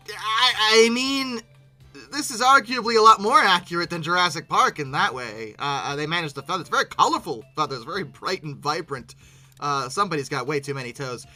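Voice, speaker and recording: An exasperated commentator giving an informal, unscripted reaction, animated and often stumbling over repeated words in disbelief. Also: medium pitch. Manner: exasperated, incredulous, animated with abrupt outbursts; halting, repeating words when flustered. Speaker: video creator hosting a reaction commentary.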